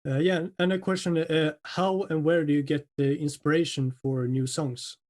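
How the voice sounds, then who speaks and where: A man's voice: on the high side, level tone, normal speed, a little mellow. Hesitant male podcast interviewer. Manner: hesitant, many fillers. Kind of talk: casual interview questions.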